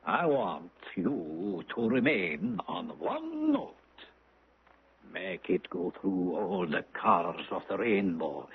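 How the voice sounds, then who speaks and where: A domineering, hypnotic maestro with a husky, rough voice that swings wildly from low to high. He is grandiose and volatile in the theatrical manner of 1970s radio drama. The old broadcast sounds muffled. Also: wildly swooping pitch, slow, full character voice. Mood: commanding. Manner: theatrical, speaks of himself in third person. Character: domineering, mesmerizing male music master, grandiose and volatile.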